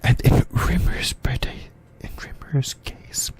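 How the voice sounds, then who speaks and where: This is an ASMR host reading an explainer in a breathy whisper. The pace is very slow and halting, with phrases often repeated or corrected along the way. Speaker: host of an anime ASMR channel.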